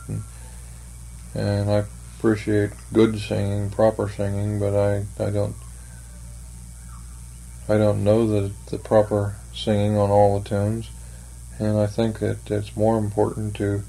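An older man with a gravelly, mellow voice and a very flat, even delivery. He answers slowly and hesitantly, with frequent pauses, over a faint hiss. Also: fairly low pitch, rough recording. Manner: slow, hesitant, thoughtful, many fillers. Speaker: interviewee, church member. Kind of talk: oral history interview.

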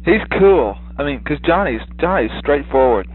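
Halting man on a tinny phone line. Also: fairly high pitch, expressive, slightly rough. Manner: halting, easygoing, trails off. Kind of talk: casual family phone chat.

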